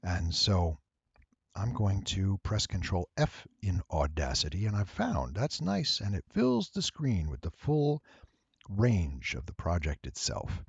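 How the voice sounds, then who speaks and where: A man with a low, creaky voice walks through software steps in a casual tutorial. He takes his time, with lively swings in pitch and a faintly nasal tone. The recording is dry and close. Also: no echo, muffled recording. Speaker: voice actor giving a software tutorial.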